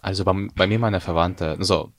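Young man, low voice, Ukrainian accent. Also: no room sound. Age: young adult. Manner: halting non-native phrasing with English words mixed in. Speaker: student. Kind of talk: casual chat.

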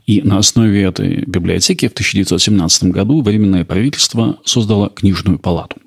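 Creaky-voiced male podcast host. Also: on the low side, fast. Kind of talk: scripted narration.

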